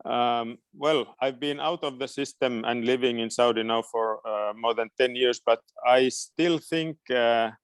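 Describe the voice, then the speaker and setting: A middle-aged native Finnish man speaks at a slow pace with a smooth, clear voice in a dry recording. He answers informally as an education expert, often pausing to search for words. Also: mid-range voice, no room sound. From Finland. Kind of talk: informal question-and-answer talk.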